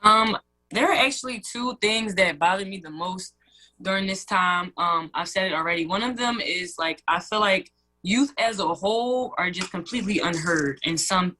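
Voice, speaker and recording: A woman's voice: mid-range voice, bright, forceful tone, rough recording. A woman in her early twenties giving her views as a guest in a radio interview, earnest and conversational. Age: early 20s. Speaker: student guest.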